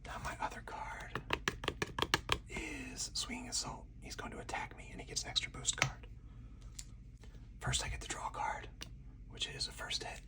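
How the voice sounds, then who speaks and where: A man speaking in a hushed ASMR whisper, thinking aloud as he talks through each move of a solo card game. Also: normal speed, pauses often, distant mic.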